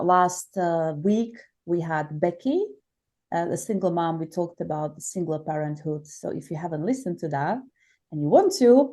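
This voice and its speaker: A woman's voice: lowish voice, darkish tone. A woman with a Slovak accent hosting a casual interview podcast, keen and full of eager interjections. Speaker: podcast host.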